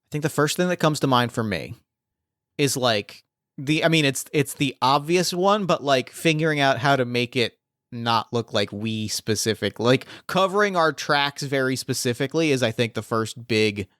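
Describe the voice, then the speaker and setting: A man's voice: mid-range voice, animated, normal speed, fairly bright tone, no room sound, clean studio sound. A man narrating as game master of a tabletop role-playing game, rambling and packing his speech with fillers.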